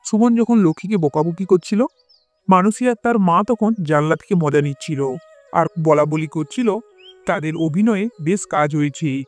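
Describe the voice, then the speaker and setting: A man's voice: on the high side, normal speed. A man reading scripted narration for a cartoon folk-style tale. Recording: music bed behind the voice.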